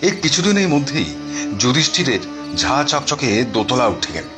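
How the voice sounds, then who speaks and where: Male narrator for a scripted moral tale, medium-pitched, loud music bed. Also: big pitch swings, normal speed.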